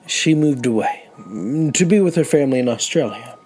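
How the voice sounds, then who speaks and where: An actor playing an older, humble, grateful man who tells his story in halting phrases in an audio drama. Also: medium pitch, animated, darkish tone, slightly rough. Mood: wistful, humble. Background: music.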